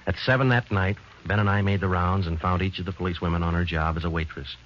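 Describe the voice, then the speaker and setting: An actor playing a weary police detective who narrates in a clipped, matter-of-fact way, in a 1940s radio drama. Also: male voice, low-pitched, steady tone, quick pace, a little hiss or hum, close to an everyday voice. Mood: terse. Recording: muffled old radio broadcast with music.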